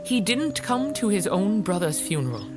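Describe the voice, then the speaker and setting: A woman's voice: medium pitch, big pitch swings, normal speed, over music, lightly acted. A woman playing an affectionate, grieving older landlady in an audio drama, motherly and frank. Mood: indignant, concerned. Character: tearful, affectionate older landlady Mrs Hudson.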